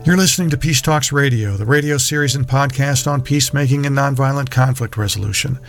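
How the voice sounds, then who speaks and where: A man hosting a radio series reads his scripted links in a measured, announcer-like way. His voice has a gravelly edge, with a faint music bed underneath. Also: medium pitch. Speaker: radio series producer and host.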